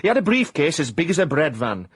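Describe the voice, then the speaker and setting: Husky, lilting Yorkshire private eye. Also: male voice, fairly high pitch, quick pace, no room sound, clean studio sound, exaggerated voice. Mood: wry, deadpan. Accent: South Yorkshire. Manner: deadpan, mock hard-boiled narration, clipped phrases. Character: wry former-miner private eye, hard-boiled pretensions, hapless. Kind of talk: comic radio drama acting.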